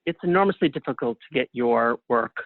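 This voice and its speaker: A man's voice: mid-range voice, animated, a little fast, no echo. A middle-aged man speaks as a guest in a casual interview. His voice comes through a thin, phone-like connection with very little room sound. He tells things modestly, poking fun at himself. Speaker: guest playwright. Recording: narrow phone-like line.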